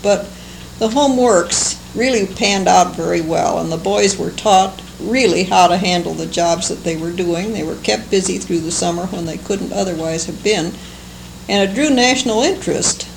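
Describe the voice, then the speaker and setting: An older American woman recalling her life for an oral history, speaking in a halting, unhurried, fond way. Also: fairly low pitch, gravelly. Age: older, seventies or above. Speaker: interviewee, longtime local resident. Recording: noisy amateur oral history recording.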